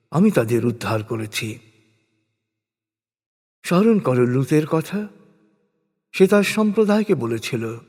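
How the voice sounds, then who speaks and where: A man reading scripted religious narration in a solemn, measured, declamatory way, with deliberate pauses. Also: fairly high pitch, darkish tone. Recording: long reverberant hall echo.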